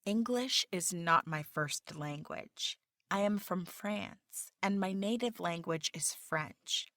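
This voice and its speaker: A woman reading the applicant's part in a scripted practice job interview, with careful, deliberate delivery. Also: on the low side, pushed and bright, no echo, clean studio sound.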